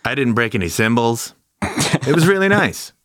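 A man voicing a mellow, sweet-natured surfer-dude drummer in a pacifist metal band, drawling and dopey. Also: medium pitch, big pitch swings, moderate pace, no echo, clean studio sound, voice pushed down. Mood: blissed out.

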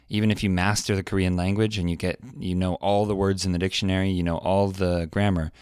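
A man co-hosting a podcast, musing out loud in a hesitant way with many restarts. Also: deep voice, no room sound, clean studio sound. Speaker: podcast co-host. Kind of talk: casual podcast chat.

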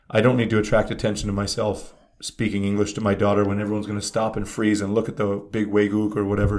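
Canadian guy telling stories in a casual chat, gets worked up and emphatic. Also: lowish voice. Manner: animated storyteller, emphatic.

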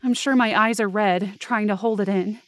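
A woman's voice: medium pitch, steady tone, moderate pace, no echo, close to an everyday voice. A woman acting an anxious young woman who narrates her frightening night hike in tense first-person audio drama. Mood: near tears.

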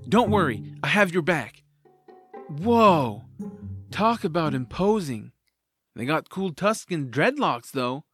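A man's voice: a little high, sing-song, slow pace, long pauses, a bit bright, exaggerated voice. A man playing an upbeat, curious young boy hero in an audio drama, eagerly talking to himself over music. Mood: surprised, eager. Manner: eager, self-narrating. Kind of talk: family audio drama acting.

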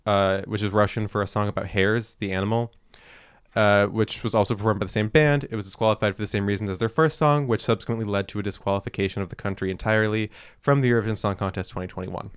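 A man's voice: on the low side, steady tone, fast, smooth, dry recording, studio quality. A man co-hosting a casual podcast, reading his notes and chatting with hesitant fillers and restarts. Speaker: podcast co-host.

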